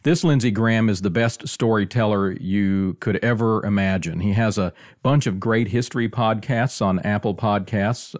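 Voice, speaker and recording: A man's voice: fairly low pitch, darkish tone, no echo, clean studio sound. A man hosting a podcast in a conversational presenting style. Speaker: podcast host.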